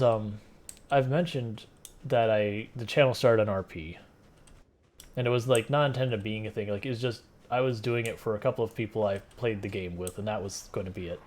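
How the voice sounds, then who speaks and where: A man's voice: on the low side, slight background noise. Soothing, easygoing male streamer. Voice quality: soft, soothing voice. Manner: relaxed, rambling, many fillers and restarts. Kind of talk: casual gameplay stream chat.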